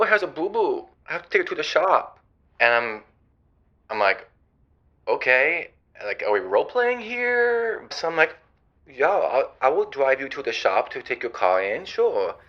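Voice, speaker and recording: A man playing a flustered, exasperated groom-to-be phoning an advice show, halting and stammering, on slightly dull phone-in sound. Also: mid-range voice, big pitch swings, pushed and bright. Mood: baffled, cringing. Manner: halting, stammering, quotes dialogue animatedly. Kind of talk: improvised comedic phone-in.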